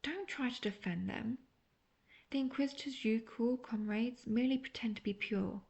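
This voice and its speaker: A woman playing a seductive, manipulative, obsessive witch in an intimate audio roleplay, coaxing and teasing the listener with soft talk that slips into near-whispers. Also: fairly high pitch, moderate pace, gravelly, dull audio. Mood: cold, righteous contempt.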